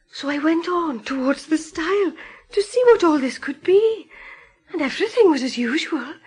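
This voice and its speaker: A grieving country wife in a radio drama. Her voice is strikingly high. She tells her story in halting, careful detail, bewildered by loss. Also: a little mellow, heavily acted, poor audio. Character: grieving rural farmer's wife recounting her husband's death.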